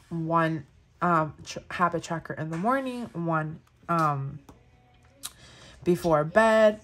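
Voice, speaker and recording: A woman's voice: lowish voice, a bit bright. A woman in her thirties with a Spanish accent, chatting casually and gushing over planner products, with lots of fillers. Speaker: planner vlogger. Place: indoors at a table, overhead camera on hands.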